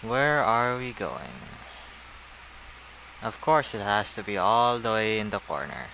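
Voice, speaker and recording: A man's voice: on the low side, expressive, unhurried, vocal fry, bright, forceful tone, a little hiss or hum, rough recording. A man doing casual gameplay commentary, talking to himself in a wry way. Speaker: gamer.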